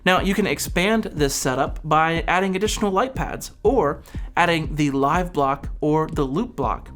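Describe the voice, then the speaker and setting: A man's voice: on the high side, a bit bright. A man presenting an instructional product demonstration, explaining things step by step.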